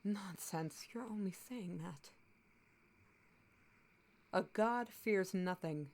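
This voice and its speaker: A woman acting a stern, slow-spoken swordswoman captain. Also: medium pitch, a little mellow. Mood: dry, sceptical. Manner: commanding, blunt, measured. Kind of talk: scripted fantasy audio roleplay.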